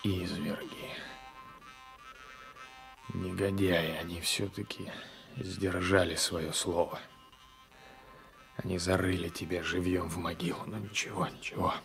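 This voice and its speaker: An actor playing a brooding, passionate gendarme and former lover with a menacing streak, in a radio drama. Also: male voice, low voice, level tone, unhurried, creaky, pauses often, fairly bright tone, faint music behind, full character voice. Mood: bitter, passionate. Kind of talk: Soviet 1980s radio drama acting.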